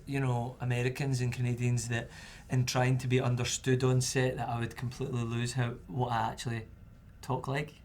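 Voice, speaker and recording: A man's voice: medium pitch, a little hiss or hum. Chatty young man. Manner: chatty, relaxed, many fillers. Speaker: actor guest. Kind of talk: casual roundtable chat.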